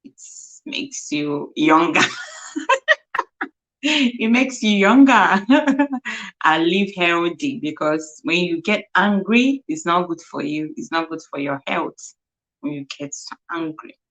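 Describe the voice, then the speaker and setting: A woman's voice: on the low side, expressive, slow pace, a bit bright. A young woman from Nigeria with a Nigerian accent and Igbo as her first language, hosting a casual livestream chat, laughing often and repeating words as she sounds them out. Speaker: livestream host.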